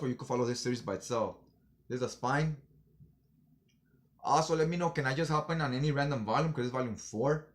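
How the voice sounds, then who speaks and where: Laid-back talking man, casual vlog reviewer. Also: medium pitch. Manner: slangy, informal fan talk. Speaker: comic book haul reviewer.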